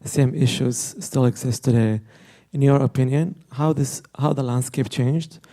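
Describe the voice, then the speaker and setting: Man with a dark, gravelly voice. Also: medium pitch, steady tone, moderate pace. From Yemen. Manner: polite, direct questioning. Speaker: audience member asking a question.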